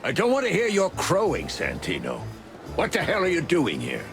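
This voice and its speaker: An actor playing a gruff, scornful old male hound dog who is a police chief in a video game. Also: fairly high pitch, huge pitch swings, moderate pace, fairly bright tone, heavily acted. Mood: scolding. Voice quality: gravelly and scornful. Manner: barking, bossy. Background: game music.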